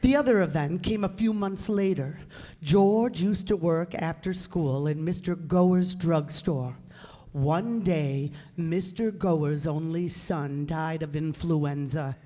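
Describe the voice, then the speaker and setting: A performer playing a calm, authoritative and kindly senior angel in a radio drama, patiently giving instructions. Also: mid-range voice, takes it slowly, lightly acted. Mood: grave, explanatory. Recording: echoey hall, long reverb.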